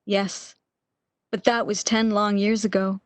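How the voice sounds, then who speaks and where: A woman's voice: mid-range voice, flat delivery, a little slow, no echo, lightly acted. A woman acting in a radio play as an anxious, regretful, bedridden sick woman with a fretful, pleading manner.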